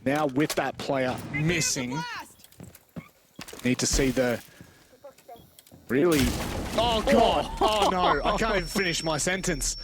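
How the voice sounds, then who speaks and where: A man doing live play-by-play esports commentary in an expressive, exclamatory way with joking asides. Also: a little high, background noise.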